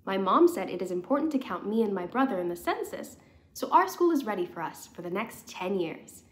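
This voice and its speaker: A woman's voice: medium pitch, sing-song, a little fast, pitched below the performer's own voice, close to an everyday voice. A young woman reading the part of a cheerful young boy, a proud big brother, in a children's storybook. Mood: cheerful, excited.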